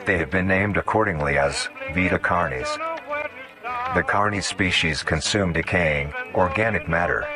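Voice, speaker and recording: A man reading scripted mock-documentary horror narration in a formal, measured, explanatory way. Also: sits low, music in the background.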